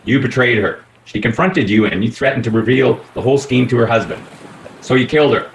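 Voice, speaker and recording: A man's voice: mid-range voice, gravelly, lightly acted. Man playing echoey, shrewd detective inspector. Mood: accusing. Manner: deliberate, accusing. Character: shrewd, folksy detective inspector laying out a case. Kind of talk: radio play acting. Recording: echoey room with faint noise.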